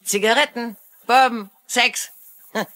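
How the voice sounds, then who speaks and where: An actress playing a brash, flirty, fun-loving hospital patient, saucy and teasing, in a dubbed TV drama. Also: female voice, fairly high pitch, fairly even intonation, measured pace, a bit bright. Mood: saucy, playful. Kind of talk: dubbed TV mystery drama acting.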